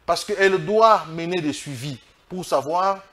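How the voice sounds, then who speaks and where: A Congolese man with a fairly high voice for a male speaker. He talks as a political guest in an interview, making his case emphatically. His delivery is halting, with phrases often restated as he builds the argument. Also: a bit bright. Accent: Congolese French. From Democratic Republic of the Congo.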